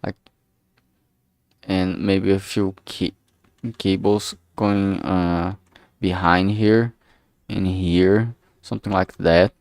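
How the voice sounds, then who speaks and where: A man's voice: low voice, steady tone, slow, vocal fry, long pauses, a little mellow, no room sound, studio quality. A man chatting casually on a livestream, thinking aloud in a hesitant, musing way and often trailing off mid-thought. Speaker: streamer making a visual novel.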